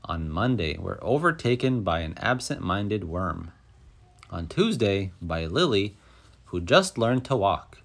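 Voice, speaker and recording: Animated man reading a children's story. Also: sits low, a little slow, gravelly. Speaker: storyteller reading a picture book aloud. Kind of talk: expressive children's read-aloud narration.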